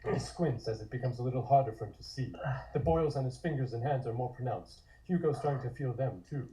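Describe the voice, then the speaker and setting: A man's voice: medium pitch, quick pace, mellow, poor audio. A man reading scripted horror stage directions as the narrator of a table read.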